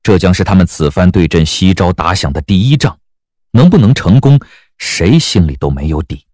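A man's voice: sits low, lively intonation, moderate pace, darkish tone, dry recording. A man narrating a period audio drama as a storyteller, reading scripted prose dramatically.

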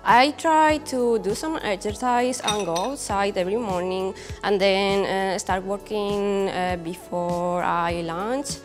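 A young woman with a Spanish accent talks slowly in a casual interview, often searching for her next word. Her pitch is average for a woman. The room leaves a long reverb on her voice, and music plays behind her. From Spain. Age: young adult. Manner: halting, searching for words, giggly. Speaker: comic creator being interviewed. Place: indoor brick-walled studio set.

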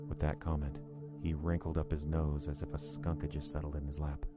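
An actor playing a sober, uneasy narrator in an audio drama, telling events in the first person in a measured, literary way. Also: male voice, rumbling low, monotone, over music. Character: sober male narrator, uneasy listener to Ovid's tale.

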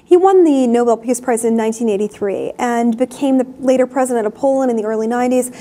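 A woman's voice: a little high, quick pace, dark tone. Young woman hosting a studio news chat. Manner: chatty, many fillers and restarts. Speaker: news show host. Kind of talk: casual news commentary. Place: TV studio desk. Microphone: lapel mic.

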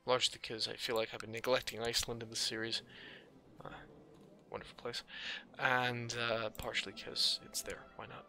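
A man commentates a video game over clearly audible music. His medium-pitched voice is creaky and a little scratchy. He talks casually and dryly, as if thinking aloud while he plays. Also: bright, forceful tone. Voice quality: slightly scratchy. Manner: dry, offhand, musing aloud to himself. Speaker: gaming video host. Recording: music under the voice.

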